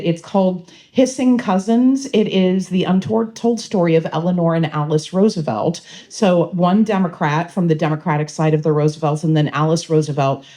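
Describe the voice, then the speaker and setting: A middle-aged woman state representative with a low voice, speaking at a town hall in a library in a chatty, digressive, self-deprecating way. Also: mellow. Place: public library meeting room.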